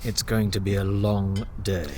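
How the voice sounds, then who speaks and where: A man's voice: lowish voice, level tone, a little slow, noisy background, natural voice. A man playing a shrewd, polite royal chamberlain with dry amusement in an audio drama. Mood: dry, wary. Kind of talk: full cast audio drama acting.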